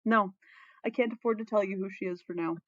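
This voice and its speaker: A woman's voice: mid-range voice, fairly quick, fairly bright tone, no room sound, muffled recording, natural voice. A woman voicing a lovesick, guarded boy crown prince in a story, gloomy and hesitant.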